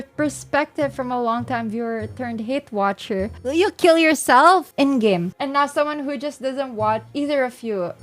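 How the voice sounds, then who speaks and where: A woman with a Filipino accent, a livestreamer reading viewer confessions aloud and reacting bluntly. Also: fairly high pitch. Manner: blunt and exasperated in reactions, mocking the writer.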